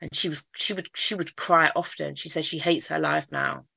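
Bright-voiced Mancunian woman telling her story. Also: low voice, fairly even intonation, normal speed, gravelly, breathy edge, no room sound. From Manchester, England. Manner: candid storytelling, frequent repeats, occasional laughs. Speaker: interviewee. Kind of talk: personal life story in conversation.

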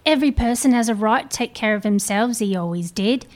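A woman's voice: a little high, fairly quick, lightly acted. A woman playing a brazen, defiant Victorian thief in a live dramatic reading. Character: brazen, defiant Victorian thieving woman selling a dead man's goods.

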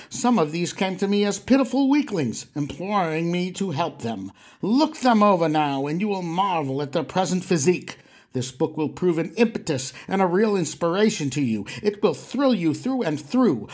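A man playing a boastful, exhorting 1920s mail-order muscleman, delivering a vintage advertisement. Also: sits high. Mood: insistent selling. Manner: exhortatory, boastful huckster patter. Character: brash 1920s mail-order muscleman pitching body-building to weaklings.

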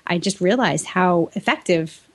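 A woman's voice: on the low side, a little slow. An American woman co-hosting a podcast in a casual, chatty and playful way. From New England, United States. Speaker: podcast co-host. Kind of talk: casual co-host banter and chat.

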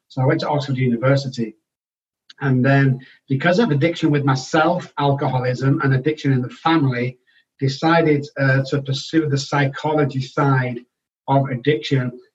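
A middle-aged man from England with a Manchester accent, speaking frankly and matter-of-factly as an interview guest. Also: mid-range voice. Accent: Manchester English. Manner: frank, matter-of-fact, with restarts. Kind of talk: candid personal storytelling. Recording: distant, dull-sounding remote recording.

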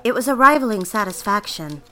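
Audio drama lead: young woman copywriter, played by an actress, average pitch, confessional diarist full of longing. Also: expressive, a little fast, a crowd in the background, natural voice. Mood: wistful, confessional. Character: yearning, self-deceiving young woman copywriter narrating her diary. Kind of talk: scripted first-person audio drama narration.

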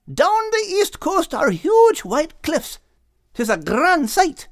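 An excitable little storybook bird in a very high voice. The delivery swoops wildly, cheery and affectionate, full of folksy turns of phrase. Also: male voice, no room sound, studio quality, heavily acted. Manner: folksy dialect with terms of endearment. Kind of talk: audiobook character acting.

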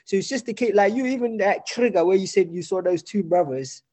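High-voiced man in chatty reflection. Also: fairly quick, darkish tone. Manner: chatty, reflective, laughs often, frequent restarts; affirms constantly with short yeahs. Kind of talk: casual conversation.

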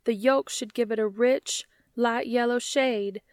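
A calm female baking instructor in an audiobook, voiced by a woman narrator, explains things step by step. Her voice is a little high and precise, recorded close and dry. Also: a little slow, dry recording. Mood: instructive.

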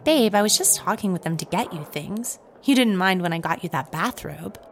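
A woman's voice: fairly low pitch, expressive, moderate pace, slight background noise. A woman playing a flirtatious, scheming inmate in a comedic audio drama, teasing and placating as she justifies herself.

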